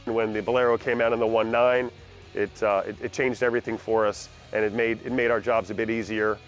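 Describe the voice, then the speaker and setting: A man's voice: lowish voice, level tone, brisk. Man over background music. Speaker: audio director for live TV productions. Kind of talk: testimonial interview.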